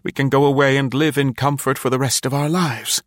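Male spy lover in an old melodrama, acted by a male narrator, raised pitch, dogged, imploring, self-justifying. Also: steady tone, fairly quick, no echo, clean studio sound, lightly acted. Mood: defensive. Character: ardent, defensive male spy pleading with his lover.

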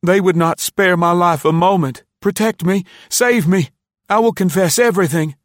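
A man's voice: on the high side, steady tone, unhurried, dry recording, studio quality, pitched above the performer's own voice, natural voice. A man voicing a polite, earnest teenage boy, frightened and pleading, in an audiobook story. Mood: desperate, terrified. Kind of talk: audiobook character dialogue.

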